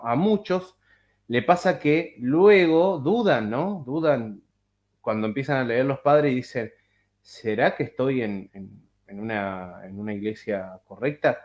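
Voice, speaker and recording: A man's voice: mid-range voice, a little mellow. Rambling man hosting a video-call chat. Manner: rambling, halting, many fillers and restarts. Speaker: podcast host. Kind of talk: casual chat.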